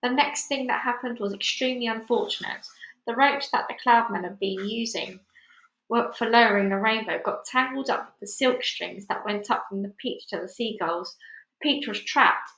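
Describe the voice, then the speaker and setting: Female storybook narrator, fairly high voice, dramatic delivery, recorded in an echoey room. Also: moderate pace. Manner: expressive, dramatic character reading. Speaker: book reader. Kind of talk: children's storybook read-aloud.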